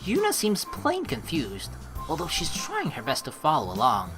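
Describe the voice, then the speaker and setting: A woman's voice: low voice, wildly swooping pitch, normal speed, over music. A young woman doing casual, sweary let's play commentary and reading a visual novel's narration aloud. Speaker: let's player.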